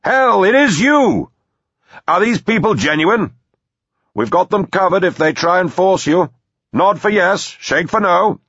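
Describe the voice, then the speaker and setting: A man voicing an angry, suspicious defender calling out loudly and bluntly in an action audiobook. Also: big pitch swings, unhurried, slightly rough, dry recording, lo-fi sound, exaggerated voice. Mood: suspicious, commanding. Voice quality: loud, booming. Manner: blunt, threatening. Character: angry, suspicious man booming from a besieged manor.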